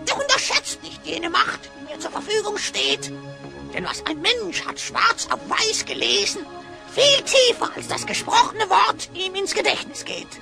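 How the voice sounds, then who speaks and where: Shrill, sly, scheming hyena. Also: female voice, animated, unhurried, gravelly, over music, exaggerated voice. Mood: scheming, insinuating. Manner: theatrical rhyming speech, cackling, oily. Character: scheming hyena spy, sly slanderer who speaks in rhyme. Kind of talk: German children's audio drama acting.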